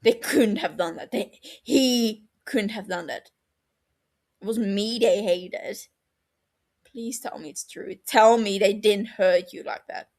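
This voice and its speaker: A woman voicing a defiant, exasperated runaway elder brother in an audio story, forceful, indignant and defensive. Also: mid-range voice, takes it slowly. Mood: shaken denial.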